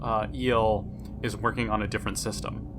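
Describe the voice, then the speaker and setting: A man's voice: fairly low pitch, little pitch movement, moderate pace. Analytical male gamer with noisy background. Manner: analytical, organizing. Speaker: player in a tabletop game. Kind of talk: casual tabletop game discussion. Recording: clear background noise and ambience.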